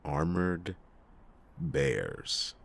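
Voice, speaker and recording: A man playing a cynical, reluctant, hard-drinking private detective in a noir ASMR roleplay, with a husky, gravelly voice and sardonic, theatrical delivery. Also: a bass voice, sing-song, fairly bright tone, heavily acted. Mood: incredulous. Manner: sardonic, theatrical, halting speech with drawn-out emphasis. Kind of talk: ASMR detective roleplay, acted monologue to listener.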